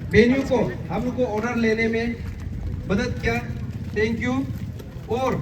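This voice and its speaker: A male activist with an Arunachali accent delivers an earnest public address at a rally. His voice is strikingly high for a man. He presses his points and repeats phrases, heard at a distance through a slightly noisy recording. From Arunachal Pradesh, India. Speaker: activist speaker at a protest rally. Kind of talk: public address at a rally, Hindi mixed with English. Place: public rally venue, voice picked up from afar.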